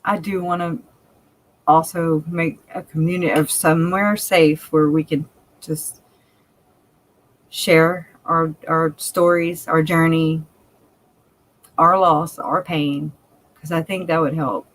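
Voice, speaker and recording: A woman's voice: low-pitched, steady tone, slow pace, long pauses, low-quality recording. Woman, Cajun accent, country-sounding, candid, laughs a lot, live chat co-host. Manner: chatty and candid, laughs often. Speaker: livestream co-host.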